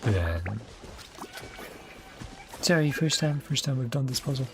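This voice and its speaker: A man's voice: medium pitch, lively intonation, measured pace. A man giving casual gameplay commentary, muttering and thinking aloud to himself as he plays. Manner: mutters to self, sings syllables, thinks aloud. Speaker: streamer reacting to a game. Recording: game music behind voice. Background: game music.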